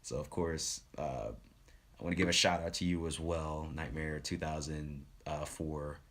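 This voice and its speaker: A man talking casually as a live streamer, giving shout-outs in a halting, rambling, earnest way. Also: very deep voice, steady tone.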